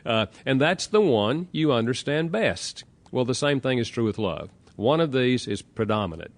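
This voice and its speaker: A man with a Southern American accent, an author answering interview questions in a calm, explanatory way and walking through examples. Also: mid-range voice, moderate pace. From Southern United States.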